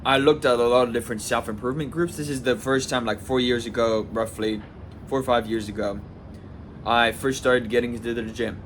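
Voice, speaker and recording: A man's voice: medium pitch, steady tone, brisk, fairly bright tone, slight background noise. A man in his twenties from southwest France, talking casually to camera in a rambling personal vlog, full of restarts and "like". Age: 20s. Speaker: vlogger.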